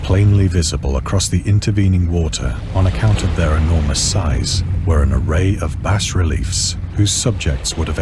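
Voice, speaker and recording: An actor playing a shaken ship's officer, narrating gothic horror in a video game in a solemn, measured, formal literary manner. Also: male voice, low-pitched, heavy background noise, close to an everyday voice.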